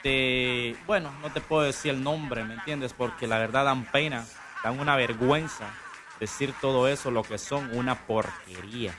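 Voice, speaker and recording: A man's voice: mid-range voice, normal speed, background noise. A man mocking a rival in half-spoken rhymes, laughing as he goes. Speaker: rapper.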